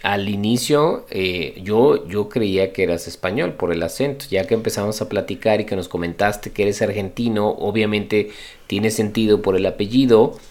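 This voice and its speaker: A man co-hosting a podcast, relaxed and rambling with fillers. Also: on the low side, normal speed. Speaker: podcast co-host. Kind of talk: podcast chat.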